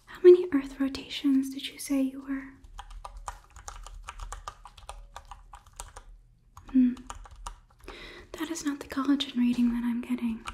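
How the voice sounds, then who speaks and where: A woman in her twenties playing a condescending, clinical female robot overlord in a soft whispered ASMR roleplay. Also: fairly high pitch, slight background noise. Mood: smug, clinical. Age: 20s. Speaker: ASMR performer. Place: small indoor studio set. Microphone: close microphone near camera.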